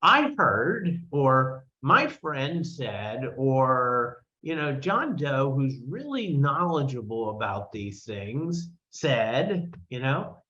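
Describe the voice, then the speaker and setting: A man's voice: mid-range voice, sing-song, extremely slow, few pauses, bright, forceful tone. Male advisor, sixties, mid-range voice, informal and emphatic explainer, slightly muffled sound. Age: 60s. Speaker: financial advisor. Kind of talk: informal explanatory financial talk.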